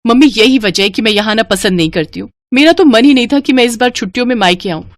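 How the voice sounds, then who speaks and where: A woman's voice: a little high, expressive, fast talker, no echo, studio quality, close to an everyday voice. A woman voicing a polite young married daughter in a cartoon. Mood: upset.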